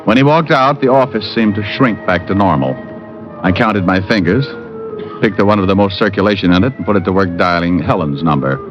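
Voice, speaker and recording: A man's voice: low-pitched, a little fast, lightly acted. An actor playing a wisecracking, flirtatious private detective in a 1950s radio drama, dry and glib as he narrates and banters. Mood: wry narrating. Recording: muffled old radio broadcast with music.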